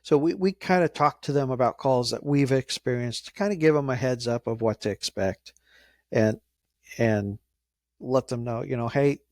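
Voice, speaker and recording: A man answers as an interview guest in a medium-pitched voice with very little room sound. He talks informally and carefully, hesitating and feeling his way through each phrase. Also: a little mellow, dry recording. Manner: measured, hesitant, halting phrasing. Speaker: emergency dispatcher, interview guest.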